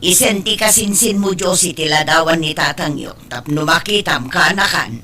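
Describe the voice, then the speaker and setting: A woman's voice: fairly low pitch, moderate pace, pushed and bright. A woman playing a concerned, meddling middle-aged aunt in an Ilocano audio drama, fretful and chiding, with an Ilocano accent. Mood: grave, confiding.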